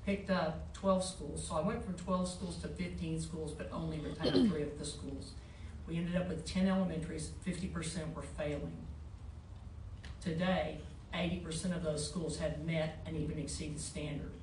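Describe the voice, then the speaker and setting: A man gives a campaign speech as a school trustee. His voice sits fairly high and stays even, heard from a distance in an echoey board room. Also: normal speed. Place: public forum in a board room. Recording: echoey boardroom from far off, faint noise.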